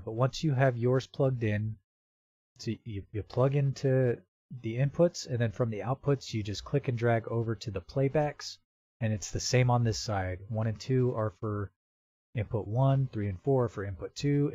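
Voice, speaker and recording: A man with a mid-pitched voice talks through a software tutorial casually and a bit haltingly, working it out step by step. The recording is dry and close, with a slightly dull, lo-fi home microphone sound. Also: no echo. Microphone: computer microphone with real-time noise removal.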